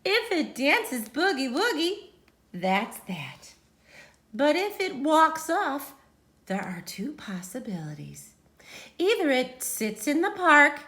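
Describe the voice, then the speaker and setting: A woman reads a children's story in a high, bright voice. The delivery is theatrical and unhurried, with dramatic pauses, hushed setups and sudden emphatic swells. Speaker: teacher reading a picture book aloud.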